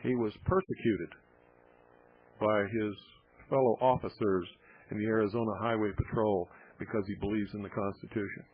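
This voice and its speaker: Husky man, blunt radio talk host. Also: on the low side, steady tone, normal speed, no echo. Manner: blunt, heated when riled. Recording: tinny shortwave radio broadcast.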